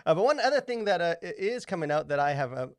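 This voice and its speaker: Gravelly, slightly high-voiced male podcast host. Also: big pitch swings, fast talker, no room sound, clean studio sound. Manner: enthusiastic, lots of filler hesitations. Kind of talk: casual podcast chat.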